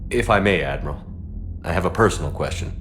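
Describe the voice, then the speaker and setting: A man playing a calm, dry, resolute military commander in video game cutscene dialogue. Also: sits low, quick pace, a little hiss or hum. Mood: earnest, questioning.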